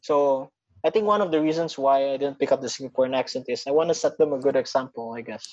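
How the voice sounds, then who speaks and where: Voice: medium pitch, fast talker, darkish tone, muffled recording. Reflective speaker with American-leaning English. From Indonesia. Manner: reflective, self-questioning. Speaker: school English program coordinator. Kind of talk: casual chat.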